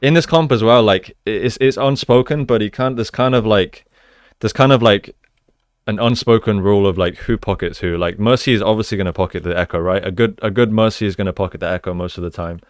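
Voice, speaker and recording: A man's voice: fairly low pitch, fairly quick, dry recording, studio quality. A man giving casual, explanatory gameplay commentary as an esports coach, using gamer slang. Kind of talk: live gameplay commentary and breakdown.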